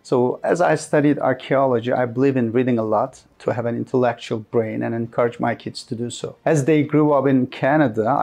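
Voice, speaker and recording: Turkish guy narrating history, english is his second language, low mellow gravelly voice. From Turkey. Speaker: history channel host.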